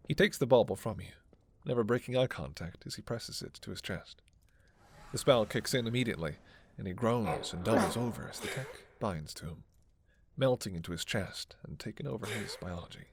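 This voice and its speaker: A man's voice: lowish voice, lively intonation, brisk, a little hiss or hum. A man narrating a scripted fantasy romance in a dramatic storytelling style.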